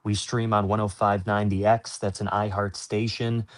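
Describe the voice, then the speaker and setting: A man's voice: on the low side, little pitch movement, normal speed. A man working as a sports announcer, answering interview questions in an earnest, informal, explanatory way.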